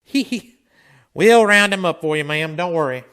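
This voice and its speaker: An actor playing a steady frontier town marshal, commanding but gentle, in a Western radio drama. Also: male voice, fairly high pitch, normal speed, clean tone. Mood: steady, reassuring.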